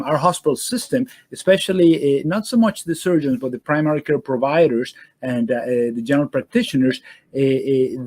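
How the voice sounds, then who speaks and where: Halting man, mellow dry voice. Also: medium pitch, dry recording. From Venezuela. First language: Spanish. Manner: halting, many fillers and restarts. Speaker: guest surgeon. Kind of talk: casual interview answers.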